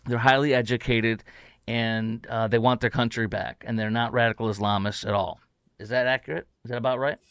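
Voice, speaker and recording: Rambling, excitable male talk host. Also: mid-range voice, fairly quick, smooth, no room sound, clean studio sound. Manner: rambling, excitable, frequent fillers and restarts. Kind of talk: casual talk show chat. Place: home studio.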